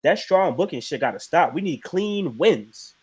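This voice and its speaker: A man's voice: fairly high pitch, animated, moderate pace, husky. A man co-hosting a casual wrestling chat stream, blunt and profane. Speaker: podcast co-host.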